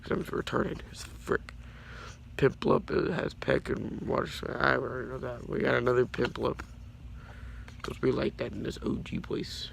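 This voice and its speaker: A teenage boy with a raspy voice from being sick, casually showing his trading cards and stumbling over their names. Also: flat delivery, moderate pace. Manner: stumbles over card names, apologetic and rambling. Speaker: YouTuber showing his card collection. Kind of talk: casual card showcase. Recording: low-quality home audio with faint background noise.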